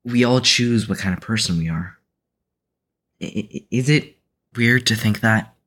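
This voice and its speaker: An actor in an audio drama playing a hesitant, self-doubting young man who speaks tentatively, with a husky voice. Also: on the low side, fairly bright tone. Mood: uneasy, tentative. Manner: halting, tentative.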